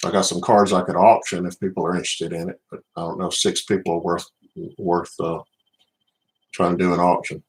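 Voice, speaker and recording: Middle-aged man, Southern US accent, low voice, relaxed casual livestream host talking to regulars. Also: rough recording. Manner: unhurried, addresses viewers by name. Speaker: livestream host, card collector.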